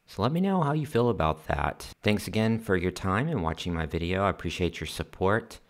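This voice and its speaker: An American man narrating his vlog in an earnest, friendly, calm voice-over. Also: low-pitched, a little fast. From United States. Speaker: vlogger. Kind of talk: voice-over narration over scenic footage.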